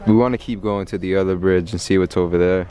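A man's voice: deep voice, steady tone, fast talker, background noise. A young man vlogging with friends on a handheld camera, chatting casually and easily amazed. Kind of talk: casual excited chat while exploring. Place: tunnel and rocky woods outdoors. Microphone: handheld vlog camera. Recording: music mixed over handheld camera audio.

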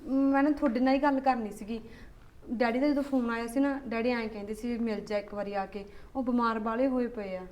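A woman's voice: a little high, steady tone, a little fast, darkish tone, dull audio, lightly acted. A woman, playing a young wife who keeps pleading to visit her parents. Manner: pleading, persistent, earnest. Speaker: daughter-in-law.

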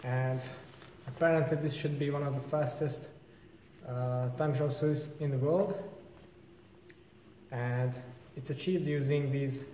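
A young man in a casual vlog, explaining his bike gear in a relaxed, informal way. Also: medium pitch, unhurried, in a large hall, a little hiss or hum, poor audio. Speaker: vlogger. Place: large indoor bike workshop.

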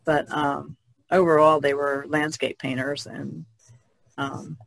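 A woman's voice: low-pitched, level tone, slow pace, gravelly, no room sound, poor audio. A woman from New Jersey chatting casually as a podcast panelist. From New Jersey, United States.

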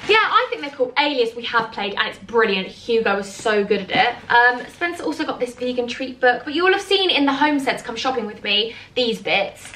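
A young British woman with a high voice chats to her vlog camera inside a house. She is excitable, effusive and affectionate, speaking casually. There is faint noise behind her. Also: a little fast, few pauses. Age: young adult. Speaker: vlogger. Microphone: camera mic, at arm's length or on a stand.

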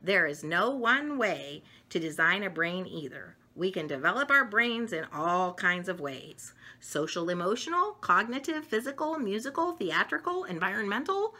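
Middle-aged female storyteller for kids' educational picture book, bright tone, highly varied intonation, emphatic delivery. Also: sing-song, moderate pace, hardly any pauses. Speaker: storyteller reading picture books. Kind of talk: read-aloud of a children's educational book. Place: home dining room, indoors.

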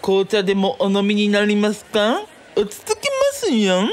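A woman's voice: mid-range voice, lively intonation, moderate pace, close to an everyday voice. A woman playing a flamboyant, teasing male quiz show host in a radio drama, drawing out the suspense playfully. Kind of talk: theatrical game show presenting.

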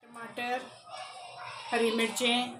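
A middle-aged woman explains a recipe in a friendly, chatty way, speaking straight to her viewers. Her voice sits a little high. The sound is roomy and echoey, with a faint hum of noise behind it. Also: monotone, slow. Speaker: cooking channel host. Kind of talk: step-by-step recipe demonstration. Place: home kitchen, indoors.

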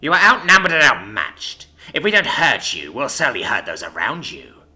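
Haughty female dark elf, man acting, sadistic, sneering, snarling, sharp voice, fantasy story. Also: medium pitch, sing-song, moderate pace, breathy edge, soft background music, exaggerated voice.

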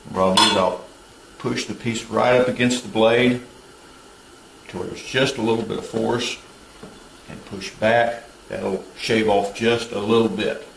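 Gravelly man talking through a woodworking build. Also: on the low side, steady tone, unhurried, fairly bright tone. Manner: practical, instructive, matter-of-fact. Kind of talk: step-by-step workshop narration. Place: home garage workshop. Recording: roomy workshop, distant mic, tool noise.

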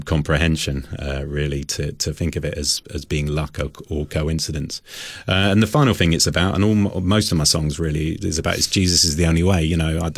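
Guy with a really low voice chatting on a radio interview, kinda halting, dry close sound. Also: no room sound. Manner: halting, repeats words as he thinks aloud. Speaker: singer-songwriter, interview guest. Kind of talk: conversational radio interview, reflective testimony.

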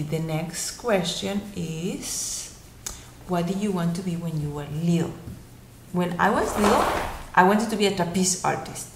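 A woman in her thirties with a Mexican Spanish accent talks to children in a gentle, storytelling way, her low voice carrying a gravelly edge. She sits in her living room, recorded on a laptop webcam that picks up a roomy echo and a faint hiss. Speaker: children's book author answering questions. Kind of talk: casual answers to a video interview.

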